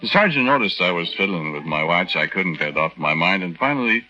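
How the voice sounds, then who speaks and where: A man's voice: low-pitched, animated, brisk, sharp tone, a little hiss or hum, heavily acted. An actor playing a henpecked young American army private, telling his story in a hesitant, folksy, rambling way in 1940s radio style. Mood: confiding. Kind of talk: 1940s radio acting, conversational first-person narration. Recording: dull lo-fi 1940s radio recording.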